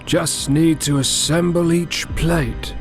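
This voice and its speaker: Slow, sinister old man, acted by a man. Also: a little high, fairly even intonation, soft background music. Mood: calm, ominous. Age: older. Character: sinister old male chef, calm and ominous. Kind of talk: audiobook drama acting.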